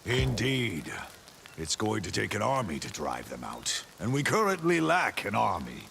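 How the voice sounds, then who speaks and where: An actor voicing a chivalrous, pompous but bumbling good-natured knight in a video game, with florid, theatrical speech and flustered hesitations. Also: male voice, lowish voice, huge pitch swings, moderate pace, gravelly, sharp tone, a little hiss or hum, heavily acted. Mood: flustered, hesitant.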